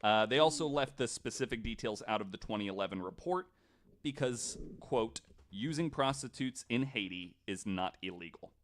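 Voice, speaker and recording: A man's voice: on the low side, animated, moderate pace. A man casually telling a researched story on a podcast, in an informal, somewhat hesitant way.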